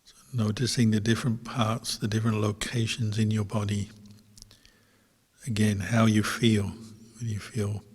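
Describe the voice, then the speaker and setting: A man teaching as a Buddhist monk, guiding a meditation in measured short phrases with long pauses between them. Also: on the low side, level tone, husky. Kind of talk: guided meditation instruction. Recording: long hall reverb.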